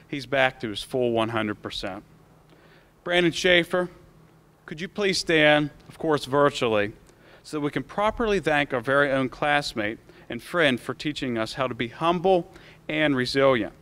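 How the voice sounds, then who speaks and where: A man's voice: medium pitch, a bit bright. A man in his late teens with an American accent, delivering a prepared commencement speech as a student speaker into a podium microphone. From United States. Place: school auditorium stage.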